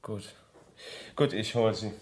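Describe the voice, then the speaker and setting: An actor playing an eager, devoted young architect in a radio play, speaking earnestly and insistently and repeating himself. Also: male voice, fairly low pitch, fairly even intonation, takes it slowly, darkish tone, a little hiss or hum. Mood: polite, deferential. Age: young adult. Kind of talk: German radio play acting.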